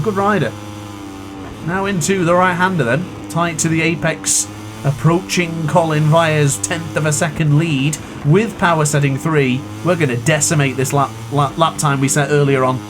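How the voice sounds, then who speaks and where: Man, sore throat, chatty gameplay commentary, excitable, slightly high voice, music playing behind. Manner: excitable, chatty, exclaims at crashes. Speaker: gaming commentator.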